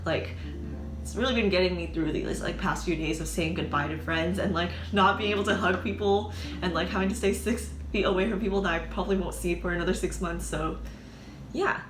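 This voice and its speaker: A woman's voice: fairly low pitch, brisk. A young woman chatting casually in a food haul vlog, rambling and filling her talk with "like". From San Francisco Bay Area. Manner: chatty and rambling, constant "like" fillers. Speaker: vlogger. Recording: roomy sound with background music.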